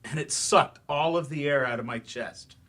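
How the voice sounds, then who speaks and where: A man plays a wounded, sarcastic teacher in a staged drama reading. His voice sits fairly high, and he is defensive and emphatic, with grief under the bitterness. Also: normal speed, fairly bright tone, lightly acted. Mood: anguished, angry. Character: bitter, sarcastic, grieving man, ex-writer turned remedial English teacher.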